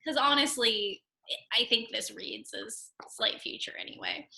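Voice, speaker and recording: Bright, higher-pitched woman in echoey room. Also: a little slow, vocal fry. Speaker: cast member giving script feedback. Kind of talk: casual group discussion.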